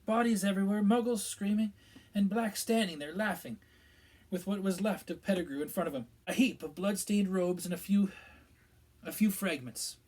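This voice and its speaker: A man's voice: sits high, moderate pace, darkish tone, pitched above the performer's own voice, poor audio. A man voicing a self-important middle-aged male government minister who talks in a pompous, evasive way. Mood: solemn, pompous.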